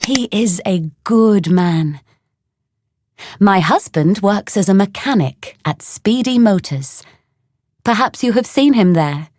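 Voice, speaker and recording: A woman's voice: medium pitch, darkish tone. A woman reading the part of a welcoming, motherly detective who offers tea and chats with guests. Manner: welcoming, chatty, slow. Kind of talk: scripted reading for learners.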